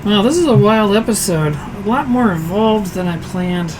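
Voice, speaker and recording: A hoarse man hosting a casual cooking livestream from his home kitchen, chatty and self-deprecating, answering viewers aloud as he works. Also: sits high, animated, moderate pace, background noise. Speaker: streaming cooking show host.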